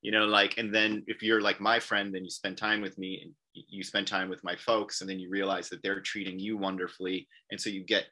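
A man with a low, forceful voice talks as an interview guest. He rambles through casual conversation, circling back on his points. He often breaks into short quoted voices of other people. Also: flat delivery, a little fast, hardly any pauses, rough recording. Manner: rambling, repeats "you know what I mean".

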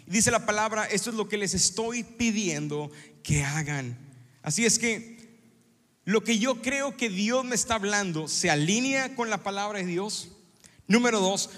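A middle-aged pastor preaches with a high, bright, forceful voice, joking and acting out little scenes for his congregation. His words ring with a long reverb through a church sanctuary. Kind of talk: sermon.

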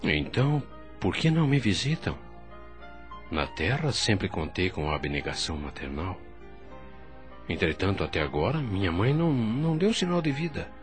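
A man's voice: low voice, big pitch swings, normal speed, soft background music. An actor playing an earnest, emotional male doctor in the afterlife in a radio drama, pleading in formal diction. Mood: wistful, questioning. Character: earnest newly dead male doctor, longing for his mother.

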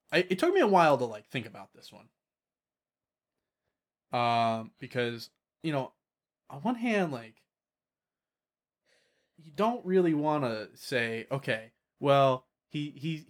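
A man chatting casually as a podcast host about tabletop gaming, often repeating words and trailing off. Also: medium pitch.

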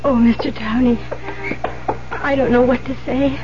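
Earnest young nun, highish sweet voice for vintage radio drama, noisy dull recording. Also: female voice, steady tone, unhurried. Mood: overcome with gratitude. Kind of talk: 1970s radio drama acting.

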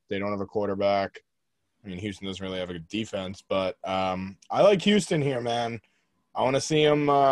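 A man's voice: fairly low pitch, no echo. A young man co-hosting a casual sports podcast, blunt and joking, pushing strong opinions. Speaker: podcast co-host.

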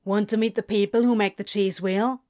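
A woman reads this part as a sardonic male demon with a curt, drawling delivery and a blunt, flirtatious edge. The voice sits at a middling pitch, dropped a little for the role, and the lines come out clipped and dry. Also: steady tone, moderate pace, a little mellow, close to an everyday voice. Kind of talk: audiobook reading of fan fiction.

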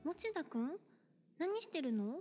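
A woman's voice: high-pitched, very animated, slow, warm tone, soft background music, full character voice. A woman voicing a cheerful, friendly teenage schoolgirl who talks in a breezy, teasing way, in an audio drama. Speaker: voice actor.

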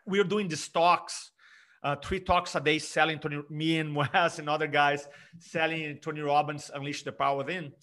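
A middle-aged man from Brazil with a Brazilian Portuguese accent, an energetic speaking coach talking emphatically in an interview. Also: on the high side, moderate pace. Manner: energetic, emphatic, frequent restarts. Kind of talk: conversational interview.

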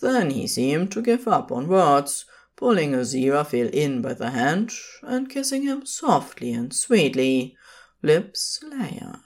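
A woman's voice: sits low, sing-song, slow, darkish tone. A woman narrating scripted fiction as the storyteller of an audio story.

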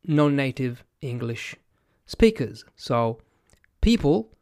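A man with an Italian accent talks to his podcast audience at an unhurried pace. He thinks aloud, trailing off and restarting with plenty of fillers. His voice sits at a middle pitch, recorded dry and close with no room sound. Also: big pitch swings, a little mellow, dry recording. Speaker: podcast host and language educator. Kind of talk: casual reflective monologue to camera.

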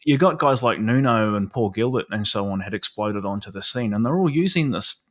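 Low-voiced New man, easygoing host. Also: fairly quick, dry recording, clean studio sound. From New Zealand. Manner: relaxed, swears casually, laughs easily. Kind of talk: casual chat.

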